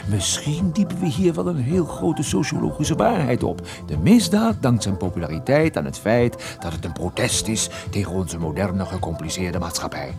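An actor playing a wry, musing private detective in a radio play, speaking in a hesitant, ironic, conversational way. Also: male voice, on the low side, very animated, moderate pace, creaky, hardly any pauses, music in the background. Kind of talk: radio drama dialogue.

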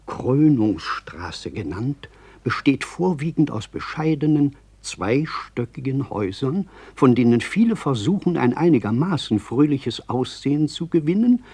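An actor playing a pompous small-town clergyman who reads out his chronicle in a pedantic, ornate, deliberate manner for a radio drama. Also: mid-range voice, darkish tone, husky, no room sound, full character voice. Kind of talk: radio drama character reading.

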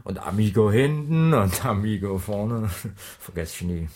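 Chummy, low-voiced circus man. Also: lively intonation, measured pace, darkish tone. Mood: fondly reminiscing. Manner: colloquial, jovial, tags sentences with questions. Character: chummy, talkative circus man sharing beer and cigars. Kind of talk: 1980s German radio drama acting.

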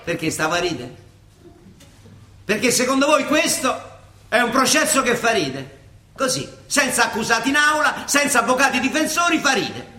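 An actor playing an older monsignor and judge with a Roman accent, halting, colloquial and ironic, in radio theatre style. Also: male voice, very high-pitched, sharp tone, reverberant, full character voice. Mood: sardonic. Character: old Roman monsignor judge, wry, rambling, quietly defiant.

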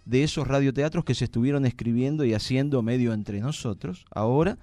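A man's voice: mid-range voice, fairly quick, mellow, no room sound. Playful Argentine man hosting live radio. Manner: playful, joking. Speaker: radio host.

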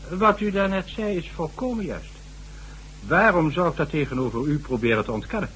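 A shrewd, lively-voiced statesman. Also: fairly high pitch, exaggerated voice, low-quality recording. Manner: smooth, authoritative, calculating. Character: shrewd high-ranking male government official. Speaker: statesman addressed as excellency. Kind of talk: 1970s radio drama acting.

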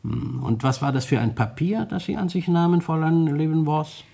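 An actor playing a shrewd, self-satisfied police detective in a radio crime play, speaking formally and deliberately. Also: male voice, fairly high pitch, vocal fry, warm tone, slightly rough. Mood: probing.

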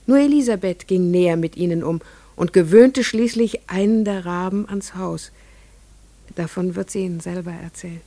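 An entranced farmer's wife, dry close sound. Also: female voice, fairly low pitch, normal speed, mellow, no room sound. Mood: wistful. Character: farmer's wife and mother, entranced, remembering strange ravens. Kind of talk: literary radio play monologue.

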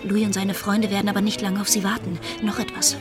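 An actress in a German radio play as a cool woman go-between, briskly passing on a gang's instructions. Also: mid-range voice, flat delivery, music in the background, close to an everyday voice. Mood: cool, businesslike.

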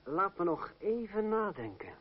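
An actor playing a proud young fencing master, formal and ironic, in a Dutch radio play. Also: male voice, sits high, sing-song, a little slow. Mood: resolute. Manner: formal, ironic, self-assured. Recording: dull, noisy old radio recording.

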